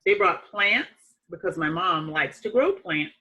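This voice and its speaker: Bright-voiced woman reading aloud to children. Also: fairly low pitch, animated, dull audio. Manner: deliberate, emphatic phrasing with dramatic pauses. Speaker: teacher reading a picture book aloud. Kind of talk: expressive children's read-aloud.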